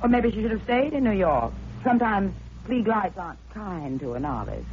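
Woman acting a catty female columnist, high animated voice, arch, coquettish, old radio mystery, tinny noisy recording. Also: normal speed, lightly acted. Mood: sly, catty. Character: haughty, sharp-tongued female newspaper columnist, flirtatious and guilty. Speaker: actor in a radio drama.

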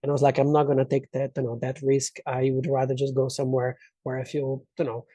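A man's voice: mid-range voice, monotone, quick pace, dark tone, husky, dry recording. A man from Brazil with a Brazilian accent and native Portuguese, telling personal stories casually as an interview guest and acting out what people said.

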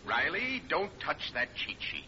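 A man's voice: fairly high pitch, sing-song, unhurried, pushed and bright, full character voice. An actor playing a man's stern, solemn inner conscience in an old radio comedy. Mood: stern, admonishing. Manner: solemn, admonishing. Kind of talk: 1940s radio comedy acting. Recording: noisy, muffled old radio recording.

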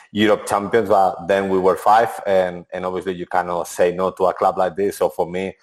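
A man in his forties, a former footballer and interview guest, speaking with many restarts and "to be honest". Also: deep voice. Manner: non-native English, frequent "to be honest" and restarts. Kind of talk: relaxed interview answers.

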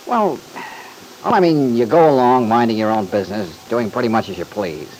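An actor playing an easygoing, thoughtful young man in an old-time radio soap opera, folksy, earnest and gently teasing. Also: mid-range voice, expressive, brisk, close to an everyday voice, low-quality recording. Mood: reflective. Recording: hissy vintage radio recording.

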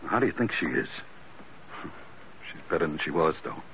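An actor playing a gruff, grumbling sailor sidekick in an old radio drama, blunt and colloquial. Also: male voice, rumbling low, very animated, normal speed, slight background noise, exaggerated voice. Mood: exasperated. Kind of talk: 1940s-50s radio drama acting. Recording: tinny, narrow-band old radio recording.

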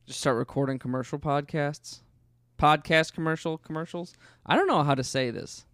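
A man's voice: a little high, normal speed, fairly bright tone, no echo, clean studio sound. A man co-hosting a podcast in casual, joking conversation. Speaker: podcast co-host.

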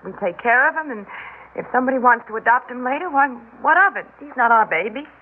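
Weary radio-drama wife on a thin recording. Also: female voice, a little high, animated, quick pace, breathy edge. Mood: resigned, pleading. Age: middle-aged. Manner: hesitant, placating, earnest. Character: weary, practical middle-aged foster mother. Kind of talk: old-time radio drama acting. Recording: narrow-band old radio, faint noise.

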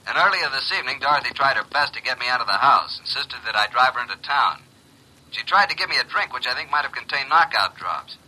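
An actor playing a wry, matter-of-fact investigator giving clipped, deadpan reports in a classic radio drama. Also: male voice, mid-range voice, fast talker, husky, heavily acted, low-quality recording.

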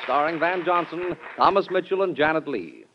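A man's voice: fairly high pitch, lively intonation, normal speed. An American man reading scripted credits as a formal 1940s network radio announcer. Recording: muffled old radio broadcast, music behind.

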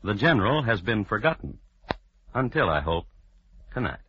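A man playing a dry, formal crime historian, narrating in deadpan 1950s radio style. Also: on the low side, normal speed, very noisy. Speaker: narrator and host. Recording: muffled vintage radio broadcast recording.

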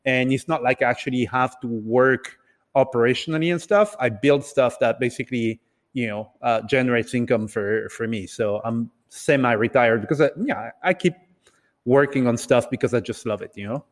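Man talking in a reverberant space. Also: mid-range voice. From Italy and several other countries. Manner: hesitant, halting delivery with repeated words. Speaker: podcast guest, entrepreneur. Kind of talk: casual interview storytelling. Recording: reverberant, hall-like room sound.

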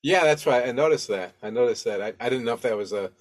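An older man hosts a relaxed interview. His pitch sits in the middle for a man. He speaks in an easygoing, somewhat halting way and tends to chuckle. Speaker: podcast host. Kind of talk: casual interview chat.

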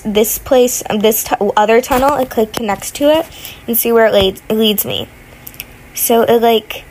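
Sick female gamer, slightly high voice, chatty and meandering, recording from bed. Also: a little hiss or hum, poor audio. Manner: rambling, many fillers and restarts. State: sick, voice sounding off. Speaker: gaming vlogger. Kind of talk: casual gameplay commentary. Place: at home in bed.

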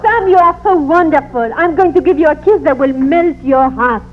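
A woman performs a gushing damsel-in-distress countess with a French accent. Her voice is high and flirtatious. It is a 1940s radio comedy, thin and narrow with faint noise. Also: normal speed, lightly acted. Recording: tinny old radio recording, faint noise.

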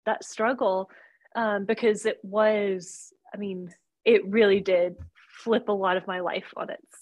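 A woman's voice: medium pitch, fairly even intonation, a little slow, a little mellow, dry recording. A woman in her thirties, raised in North Carolina, speaking earnestly as a guest author in a casual interview, with frequent fillers. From born in Romania, raised in North Carolina. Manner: earnest, thoughtful, with many fillers.

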